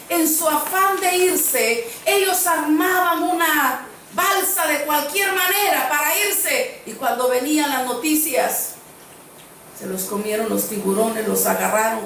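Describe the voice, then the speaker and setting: A woman with a high voice preaches an earnest sermon in a church, driving her points home by repeating phrases. She is picked up from some distance, so her voice rings around a very reverberant hall. A faint hum of room ambience sits underneath. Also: slow pace, a bit bright. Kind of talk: sermon with personal storytelling. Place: church sanctuary.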